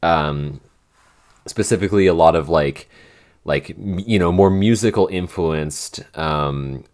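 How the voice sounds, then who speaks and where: Very deep-voiced man, halting casual chat. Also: darkish tone. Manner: halting, searching for words. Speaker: podcast writer. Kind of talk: casual behind-the-scenes Q&A chat.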